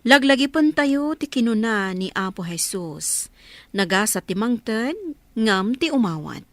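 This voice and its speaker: A woman with a Filipino Ilocano accent announcing and narrating for a radio drama, reading a scripted moral message in a measured, preachy way. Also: a little high, animated, no echo.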